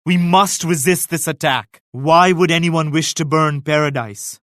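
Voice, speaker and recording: Casting: a devout Mughal emperor for a strategy game, fairly high male voice, grave formal declamation, dry studio sound. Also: steady tone, a little slow, fairly bright tone, dry recording. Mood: defiant, solemn. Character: devout, solemn, regal Mughal emperor, grown man. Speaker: video game voice actor. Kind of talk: scripted game character lines.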